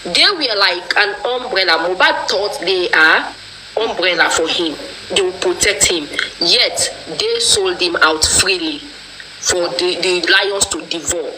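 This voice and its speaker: A woman's voice: fairly low pitch, a little slow, sharp tone, slight background noise, low-quality recording. A woman with a Nigerian accent speaking passionately and accusingly in a casual online discussion, leaning on proverbs. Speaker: discussion participant.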